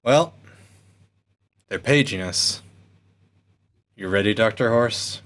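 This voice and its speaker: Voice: mid-range voice, slow pace, a bit bright, pitched below the performer's own voice. A player voicing an edgy, impatient male naval lieutenant in a sci-fi radio play. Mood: uneasy. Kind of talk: cold-read sci-fi radio play acting.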